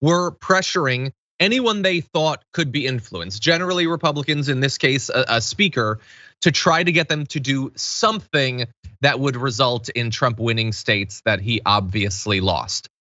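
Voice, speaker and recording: Male political commentary host, bright and punchy, sardonic and conversational. Dry, slightly muffled sound. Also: mid-range voice, normal speed, dry recording. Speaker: talk show host.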